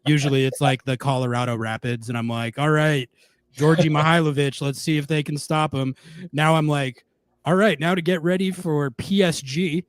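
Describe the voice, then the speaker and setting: A man hosting a call-in show, talking to callers in a relaxed, conversational way. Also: fairly high pitch, normal speed, no room sound. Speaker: host.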